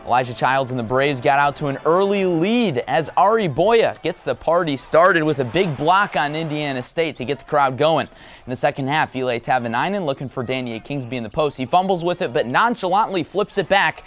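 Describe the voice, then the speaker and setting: A man's voice: on the high side, expressive, brisk, a bit bright. A man calling sports as a play-by-play announcer and anchor, in a commentary style. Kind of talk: sports commentary and highlight narration. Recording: crowd and outdoor noise behind. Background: crowd and ambient noise.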